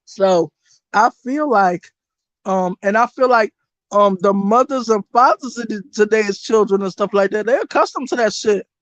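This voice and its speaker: A woman running a casual livestream as a spiritual reader, blunt and profane, with a voice that is going hoarse. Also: medium pitch, normal speed, no echo, lo-fi sound. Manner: blunt, profane and animated, talks to her chat. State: losing her voice.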